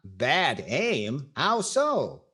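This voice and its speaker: A man's voice: on the high side, wildly swooping pitch, extremely slow, sharp tone, husky, exaggerated voice. An older man playing a wry, inquisitive comedy host in 1940s radio style, echoing lines as straight man. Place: indoors on a video call.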